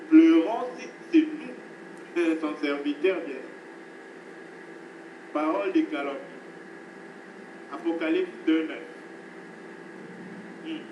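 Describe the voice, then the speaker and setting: A man's voice: on the high side, muffled recording. Preaching man in an echoey hall. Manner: emphatic preaching, repeats phrases, addresses listeners as beloved. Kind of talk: sermon. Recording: echoey, distant, noisy hall recording.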